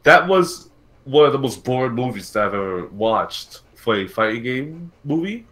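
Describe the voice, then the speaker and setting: A man hosting a casual video game podcast, talking in a halting, rambling way and often repeating his words. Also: mid-range voice, big pitch swings, fairly bright tone. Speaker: podcast host.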